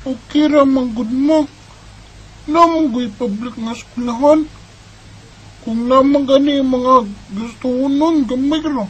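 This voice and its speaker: A woman voicing an earnest young boy who gently looks after his family, in a homemade radio drama. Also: high-pitched, slow pace, a little hiss or hum, poor audio. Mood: pleading. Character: earnest young boy, caring older brother.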